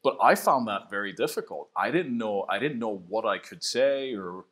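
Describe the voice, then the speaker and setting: A man's voice: on the low side, lively intonation, normal speed, a bit bright. A man from Sweden with a mid-Atlantic accent that has hints of Swedish, talking thoughtfully as a podcast guest and often repeating his first words. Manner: thoughtful, repeats words when starting sentences. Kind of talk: reflective conversational interview.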